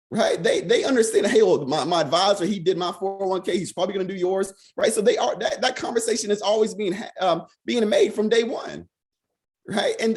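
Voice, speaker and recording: Hoarse man pumping up his team. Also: high-pitched, darkish tone. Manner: fast, repetitive, peppered with "right?". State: hoarse, a bit sick. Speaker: financial services team leader. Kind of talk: motivational talk on a video call.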